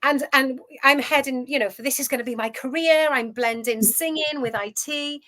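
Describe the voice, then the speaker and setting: A Welsh woman in her fifties, a coach telling her career story in a chatty, animated way as an interview guest. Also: high-pitched, moderate pace. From Wales. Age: 50s. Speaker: guest, food relationship coach. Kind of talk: casual interview chat.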